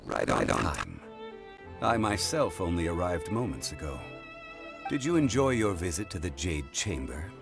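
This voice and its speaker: A man playing a calm, refined, learned gentleman in a video game, speaking in a measured, formal way. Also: low voice, full character voice. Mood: courteous, calm. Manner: measured, formal, old-fashioned diction. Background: soft game music.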